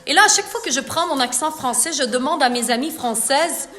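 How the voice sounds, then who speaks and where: A woman's voice: sits high, a little fast, sharp tone, faint music behind. A woman makeup artist with a Quebec French accent, chatting playfully with viewers during a live makeup tutorial. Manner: chatty, playful, reads viewer comments aloud.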